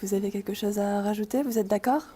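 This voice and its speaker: A woman's voice: mid-range voice, fairly even intonation, fast, dark tone. A woman hosting a radio chronicle, asking polite, formal questions and signing off the show. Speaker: radio columnist and interviewer. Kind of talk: radio interview and sign-off.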